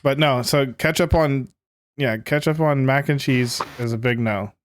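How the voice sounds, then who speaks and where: A man's voice: medium pitch, a little slow. A man co-hosting a casual podcast, laughing a lot and filling his talk with "like". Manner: laughs often, says like a lot. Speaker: podcast co-host. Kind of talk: casual chat.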